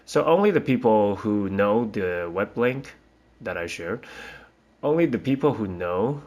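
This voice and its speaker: Man, from Taiwan, young adult, teacher, low-ish voice, slow, hesitant, rambling. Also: expressive, darkish tone. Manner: hesitant, rambling, frequent fillers and restarts. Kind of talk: casual spoken reflection.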